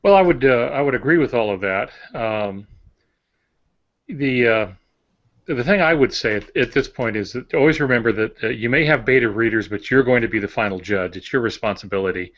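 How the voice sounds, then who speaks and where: An older man co-hosting a podcast, giving conversational advice and anecdotes in a measured, hesitant way. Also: medium pitch, a bit bright. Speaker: podcast co-host and writer. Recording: dull lo-fi audio.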